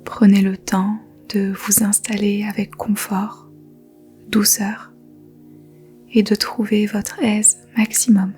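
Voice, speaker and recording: A woman guides a yoga nidra meditation in a soft, whispery voice. Her delivery is very slow and even, keeping close to one pitch. Faint music plays beneath her gentle instructions. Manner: slow, gentle, soothing instructions with long pauses.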